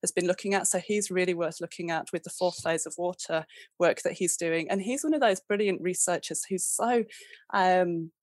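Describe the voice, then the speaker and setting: A woman with a lowish voice talks through her answers in a chatty, meandering way, circling back on her words. The sound is dry and close, with no room around it. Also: normal speed, no room sound. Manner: chatty, rambling with frequent restarts and laughs. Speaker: guest homeopath. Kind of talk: conversational interview.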